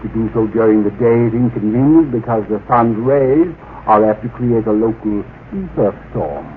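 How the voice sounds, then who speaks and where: An actor playing a haughty alien officer in a radio drama, speaking with formal, precise and condescending diction. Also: male voice, lowish voice, normal speed, close to an everyday voice. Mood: cool, condescending. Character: haughty male alien officer, coolly contemptuous of humans. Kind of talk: old-time radio drama acting. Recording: tinny, noisy vintage radio recording.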